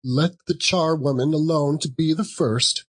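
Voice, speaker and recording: A man's voice: fairly high pitch, a little slow, husky, pitched above the performer's own voice. A man voicing a brazen, callous old charwoman in a theatrical storybook reading, scornful and exclamatory. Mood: gleeful. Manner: exclamatory, scornful, laughing between phrases.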